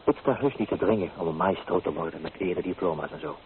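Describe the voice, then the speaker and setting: An actor playing a teasing, free-spirited young painter in an old Dutch radio play, with theatrical delivery. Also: male voice, deep voice, fast, lightly acted. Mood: breezy, assured. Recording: muffled, noisy old radio recording.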